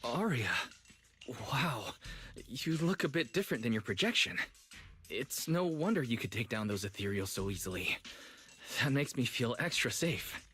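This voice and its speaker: A man's voice: medium pitch, expressive, normal speed, a bit bright, close to an everyday voice. An actor voicing a friendly, protective young man in a video game, easygoing and reassuring. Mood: gentle. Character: friendly young male proxy, video store manager, protective. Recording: music behind the voice.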